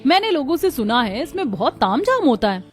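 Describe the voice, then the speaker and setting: Woman voicing high, fretful young daughter-in-law, cartoon. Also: sing-song, a little fast, soft background music. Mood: curious, doubtful. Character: curious, doubting younger village daughter-in-law, eager and easily worried. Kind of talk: scripted cartoon dialogue.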